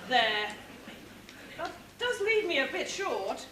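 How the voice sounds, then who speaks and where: Woman playing a hapless high-voiced panto king. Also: big pitch swings, slow pace, exaggerated voice. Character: hapless, gloomy, penniless pantomime king, male. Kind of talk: British pantomime stage performance. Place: theatre stage. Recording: echoey hall, distant mic, noisy.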